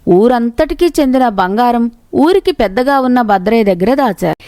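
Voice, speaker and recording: A woman's voice: fairly high pitch, darkish tone, no echo. A woman narrating a scripted moral story.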